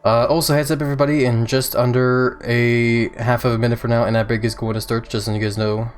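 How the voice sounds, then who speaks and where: A man streaming a video game, chatting casually with his viewers as he plays, with chuckling asides and a slightly rough throat. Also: medium pitch, flat delivery, quick pace. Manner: chatty, reads and answers chat while playing. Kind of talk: casual let's-play commentary. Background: faint game music.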